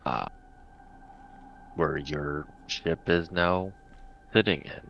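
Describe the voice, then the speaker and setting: A man's voice: low-pitched, extremely slow, vocal fry. A man improvising in-character dialogue as a tabletop game player, hesitant and groping for his words. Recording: dull audio, faint background noise.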